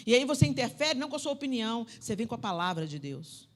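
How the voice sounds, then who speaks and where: A woman preaching a sermon in a church, talking to the audience directly and swinging between loud, emphatic declarations and soft asides. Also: on the high side, very animated, quick pace. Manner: conversational, addresses the audience directly. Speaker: preacher at a women's conference. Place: church sanctuary.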